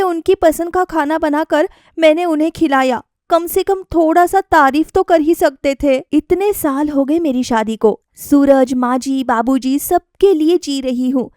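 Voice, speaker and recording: Lady doing a young daughter-in-law, high voice, earnest, plaintive, eager to please. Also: a little mellow, no room sound, studio quality.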